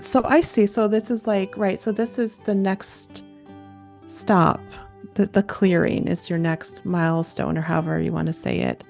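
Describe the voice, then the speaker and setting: A woman with a creaky voice hosting a casual coaching chat, affirming and reflecting back what she hears. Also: mid-range voice, fairly even intonation, a little slow, a little mellow, soft background music. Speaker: host and creativity coach.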